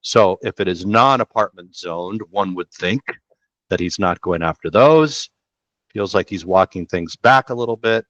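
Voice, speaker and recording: A man's voice: sits low, a little slow, a bit bright, no room sound. Laid-back slangy man on a headset. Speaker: real estate show host. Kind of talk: casual listing commentary. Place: small room at a desk. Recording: dull dry home recording.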